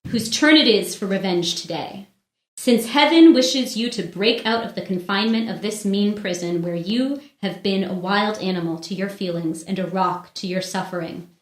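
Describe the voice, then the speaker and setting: A woman's voice: mid-range voice, moderate pace, natural voice. An actress playing a proud, wronged noblewoman in a classical stage drama, speaking in formal, declamatory speeches. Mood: rousing, resolute. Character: wronged noblewoman in armor, proud, pleading, vengeful. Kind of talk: classical stage verse drama, unrehearsed read. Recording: roomy echo around the voice.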